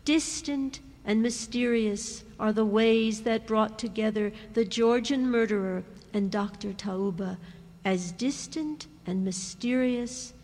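A woman with a fairly high voice reads literary prose slowly and solemnly, letting each phrase settle. A long church reverb trails after her words. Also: darkish tone. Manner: slow and measured, solemn. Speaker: reader. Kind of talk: literary reading aloud. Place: church hall.

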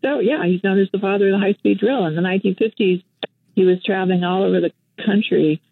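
Older woman, from Western Canada, phone interview guest, gravelly, dry thin phone sound, reflective with fillers. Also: mid-range voice, fast, dry recording. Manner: reflective storyteller, many fillers and restarts. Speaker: guest, activist and journalist. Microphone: telephone. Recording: tinny phone line.

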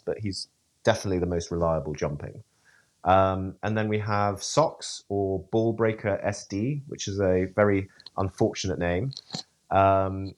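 Deep-voiced male interviewee, halting and thoughtful. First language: English. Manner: hesitant, many fillers and restarts. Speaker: event rider, interview guest. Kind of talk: podcast interview.

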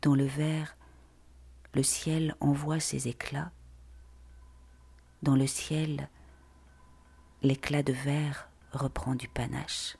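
Really breathy narrator reading poetic prose, hushed n slow, almost whispering. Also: medium pitch, level tone. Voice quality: breathy, often near-whispered. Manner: slow, hushed, deliberate delivery.

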